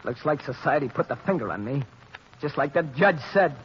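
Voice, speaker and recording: A man's voice: on the high side, animated, moderate pace, long reverb tail, natural voice. A male actor playing a defiant, menacing condemned gangster with an American accent and slangy tough talk, in 1930s radio style. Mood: menacing, mocking. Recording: dull, hissy 1930s radio recording.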